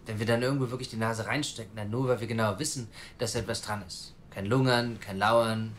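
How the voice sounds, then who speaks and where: An actor playing an earnest, self-assured journalist, articulate and persuasive, in a naturalistic audio drama. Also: male voice, on the low side, steady tone, fairly quick, slight background noise, natural voice. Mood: confident, persuasive.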